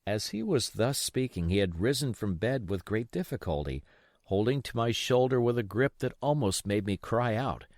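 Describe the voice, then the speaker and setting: A man's voice: fairly low pitch, a little mellow, no room sound, studio quality. A man reading a classic story aloud as scripted literary narration. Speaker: audiobook reader.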